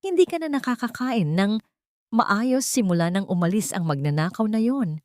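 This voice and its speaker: A woman's voice: medium pitch, lively intonation, normal speed, a little mellow, no echo, clean studio sound, voice pushed up, natural voice. A woman voicing a manipulative young villainess in a story, sugary and reproachful. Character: sweet-talking, manipulative pregnant young villainess. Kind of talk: storybook drama.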